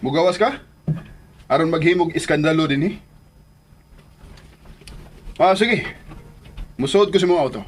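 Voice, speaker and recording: An actor playing a modest but proud man in a Filipino radio drama, with a husky voice. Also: fairly high pitch, unhurried, long pauses, natural voice. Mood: defiant. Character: modest, proud man, reluctant guest avoiding trouble.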